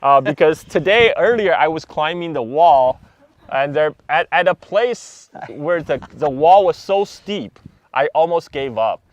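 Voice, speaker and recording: A man in his forties from China, a native Chinese speaker who moved to the United States as a teen, chatting casually and telling animated anecdotes as a guest. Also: a little high, a little slow, no room sound, lo-fi sound. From Chongqing, China, moved to the United States at thirteen. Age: 40s. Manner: animated storytelling, chuckling often. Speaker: live stream guest. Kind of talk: casual chat with personal anecdotes. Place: outdoors on the Great Wall.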